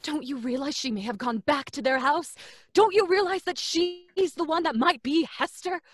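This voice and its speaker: A woman's voice: sits high, moderate pace, natural voice. An actress playing a stern woman doctor, urgent and scolding, in old-time radio style. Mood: insistent. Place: dim home recording space. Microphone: large studio condenser, close.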